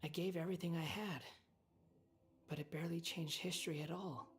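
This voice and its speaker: An actor voicing a young male puppet without a heart, speaking in a quiet, weary and resigned way. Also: a little high, fairly even intonation, a little fast, lightly acted. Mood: brooding. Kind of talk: game cutscene dialogue.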